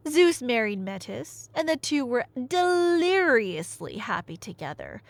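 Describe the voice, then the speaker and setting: Animated, high-voiced woman telling stories casually. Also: unhurried, gravelly, dry recording. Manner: animated, conversational, wry asides and rhetorical questions. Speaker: audio roleplay storyteller, playing a nerdy girlfriend. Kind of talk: casual, rambling storytelling in an ASMR roleplay.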